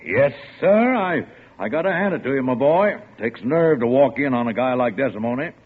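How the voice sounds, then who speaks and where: Husky, animated American police lieutenant. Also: male voice, medium pitch, moderate pace. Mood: admiring. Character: gruff, admiring, amused male police lieutenant. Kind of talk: mid-century American radio drama acting. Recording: narrow tinny old radio broadcast.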